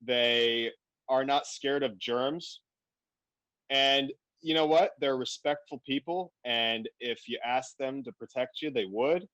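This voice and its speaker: A man from Afghanistan talking bluntly and animatedly in a casual podcast conversation, quick to laugh. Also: mid-range voice, fairly bright tone, dry recording, rough recording. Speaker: podcast guest.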